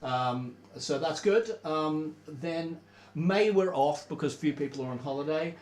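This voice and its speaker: A man's voice: a little high, unhurried. Chatty man in an echoey room. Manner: relaxed, chatty, rambling with many fillers. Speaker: band member hosting a livestream. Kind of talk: informal livestream chat.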